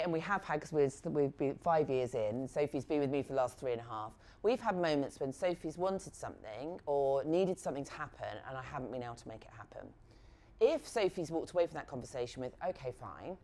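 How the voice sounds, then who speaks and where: A middle-aged woman giving a frank, lively workshop talk on negotiation, acting out short example conversations as she goes. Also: a bass voice, fairly quick. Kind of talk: live workshop talk to assistants.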